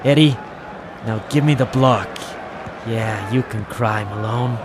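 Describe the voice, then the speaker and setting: A man's voice: lowish voice, takes it slowly, over a noisy crowd. A man playing a threatening gangster who gives curt orders, in late 1940s radio crime drama style. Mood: cold menace. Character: menacing male gangster thug giving curt orders. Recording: slightly noisy old radio recording.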